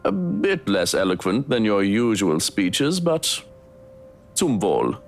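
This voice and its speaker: An actor playing an exasperated German butler in a visual novel, with a German accent and a dry, scolding manner. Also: male voice, on the low side, very animated, slow, full character voice. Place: studio game voice track with music behind.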